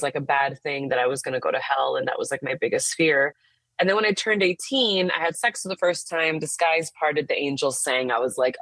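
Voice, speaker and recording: A woman in her thirties speaks in a low register, open and frank as she tells her own story. Her talk is casual, sprinkled with "like" as she goes. The sound is close and dry, with no room around it. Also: dry recording. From United States. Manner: open and frank, frequent "like" fillers. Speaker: therapist and intimacy coach, podcast guest. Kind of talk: candid personal story in casual conversation.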